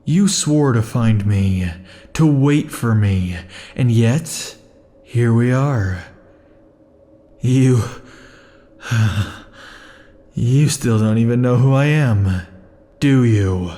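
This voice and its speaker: A man voicing an obsessive, possessive male immortal in an ASMR roleplay, talking close to the mic in a slow, intimate, brooding way. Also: mid-range voice, dark tone. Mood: mocking. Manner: slow, intimate, brooding delivery with sudden furious outbursts.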